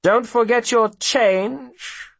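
Man voicing a high-pitched, oily villainous gentleman. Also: extremely slow, creaky, slightly rough, no room sound, full character voice. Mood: oily menace. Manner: mocking, unctuous, pompous. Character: sneering villainous profiteer, smug, mock-genteel older gentleman.

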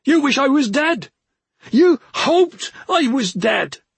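Guy doing an audiobook crazed angry young man w magic, screaming accusations, strained n way high pitched, frantic. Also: very animated, takes it slowly, vocal fry, no echo, full character voice. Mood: enraged, accusing. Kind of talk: fantasy audiobook character acting.

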